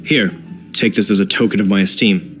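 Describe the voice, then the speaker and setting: An actor playing an earnest, courteous and easily flustered knight in a video game, speaking in a formal, hesitant way. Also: male voice, on the low side, fairly even intonation, fairly quick, soft background music, natural voice. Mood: hesitant, puzzled. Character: earnest, polite, flustered knight, suspicious but gullible.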